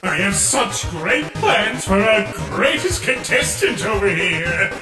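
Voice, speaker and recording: A man's voice: sits high, big pitch swings, takes it slowly, heavily acted. A man voicing a vain, theatrical robot game show host in a comic dub, grandiose and gushing. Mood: showy, excited. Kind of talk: comic dub character acting. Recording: echoey hall reverb, music behind.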